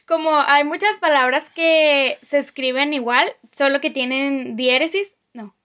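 A woman's voice: sits high, unhurried, a bit bright. A young woman, chatting casually, rambling and correcting herself as she goes. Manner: rambling, self-correcting, laughing. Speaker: co-host, sister. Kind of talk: casual chat.

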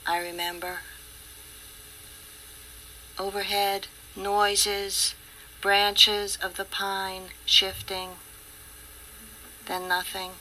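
A woman reciting a poem in a slow, measured way. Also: mid-range voice, pushed and bright, a little hiss or hum, poor audio. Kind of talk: poetry reading.

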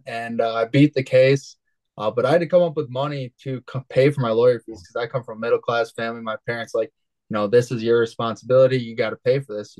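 A man in his twenties from West Michigan, a guest on an interview show telling his story in a relaxed, rambling way with many fillers. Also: medium pitch, no echo, poor audio. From West Michigan, USA. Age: 20s. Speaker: guest, cannabis entrepreneur.

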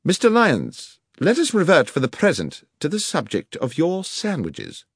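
A man voicing a sharp, commanding middle-aged detective who asks precise questions, in an audiobook reading. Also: on the high side, wildly swooping pitch, moderate pace, dry recording, clean studio sound, pitched above the performer's own voice, exaggerated voice. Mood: probing. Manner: crisp, precise, probing questions.

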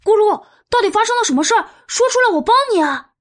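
Woman as a spunky little girl sleuth in a kids' storybook: very high, bouncy, shouty, music behind. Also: expressive, slight background noise. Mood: eager. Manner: eager, shouting, fast. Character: bold, excitable little girl detective.

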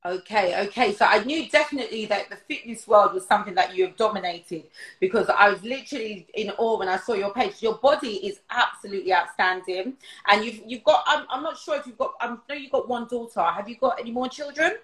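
A woman's voice: mid-range voice, a little fast, a bit bright. Effusive woman host in an echoey room. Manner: effusive, repeats words, lots of praise. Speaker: interview host. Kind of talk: casual interview over a video call. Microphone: video call.

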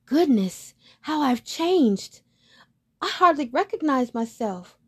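A woman's voice: medium pitch, expressive, unhurried, darkish tone. A woman voicing a sad, lonely young male duckling in a storybook reading, with a plaintive, pleading way of talking. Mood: amazed.

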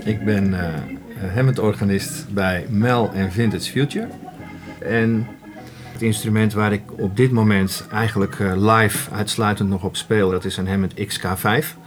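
A man's voice: fairly low pitch, steady tone, measured pace, faint music behind. A man, a working musician, talking informally in an interview. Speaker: musician, organist. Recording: clear background noise.

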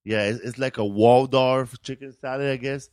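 A man's voice: medium pitch, fairly even intonation, moderate pace, a little mellow, smooth, dry recording, clean studio sound. A man hosting a casual podcast, chatting in a laid-back, slangy, repetitive way. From California. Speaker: podcast host. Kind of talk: casual comedic podcast chat.

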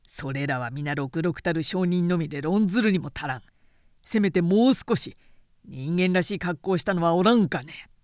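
A domineering warlord of old, acted by a woman reading an audiobook. He declaims haughtily and mockingly, with big swings of pitch in a dry recording. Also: expressive, normal speed, darkish tone, dry recording, lightly acted. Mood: scornful. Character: proud, domineering male warlord, mocking and grandiose.

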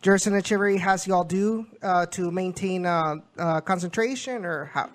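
A man's voice: high-pitched, moderate pace. A man hosting a soccer show, interviewing a guest in a conversational, somewhat hesitant and wordy way.

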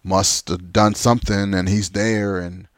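Friendly, animated man hosting. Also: sits low, unhurried, no room sound, studio quality. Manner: friendly, animated, jumps in with questions. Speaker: podcast host. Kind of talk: casual interview chat.

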